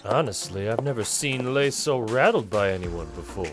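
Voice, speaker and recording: A man's voice: mid-range voice, animated, normal speed, music in the background, natural voice. A man voicing a curious, teasing young clanmate in a visual novel drama, speaking casually. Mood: amused, teasing.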